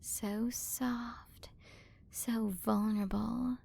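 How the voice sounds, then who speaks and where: A woman's voice: a little high, little pitch movement, darkish tone, exaggerated voice. A woman playing a haughty, possessive alien galaxy queen in an ASMR roleplay, condescending and flirtatious as she toys with the listener. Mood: possessive, fascinated. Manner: condescending, flirtatious, drawn-out delivery with breathy drops into whispers. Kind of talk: ASMR roleplay, scripted character acting.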